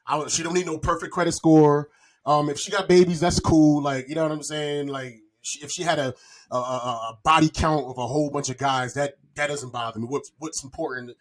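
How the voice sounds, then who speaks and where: A man's voice: fairly high pitch, steady tone, fairly quick. A man in his forties chatting casually on a podcast, speaking candidly and loosely in slangy, rambling phrases. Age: 40s.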